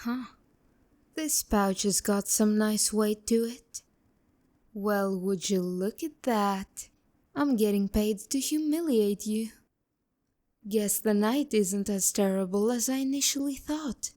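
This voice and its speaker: Casting: actress as a sharp-tongued female demon courtesan for ASMR roleplay; mellow tone, unhurried delivery, haughty and seductively teasing. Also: medium pitch, natural voice. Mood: taunting, smug. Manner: condescending, teasing, biting insults. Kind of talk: romantic ASMR roleplay, addressing the listener.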